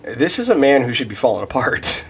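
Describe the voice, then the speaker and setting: A man's voice: medium pitch, sing-song, brisk, slight background noise. A man in his thirties giving a casual devotional Bible teaching, thinking aloud with many restarts and fillers. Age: 30s.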